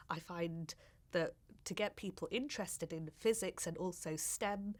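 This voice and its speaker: Slightly low-voiced woman. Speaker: astronomer and science communicator. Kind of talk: interview talk about own work.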